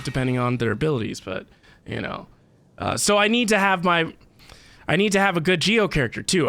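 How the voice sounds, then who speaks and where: Playful, slightly high-voiced male streamer. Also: a bit bright, a little hiss or hum. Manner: playful, theatrical, mock-dramatic. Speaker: game streamer. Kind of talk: casual live stream chat.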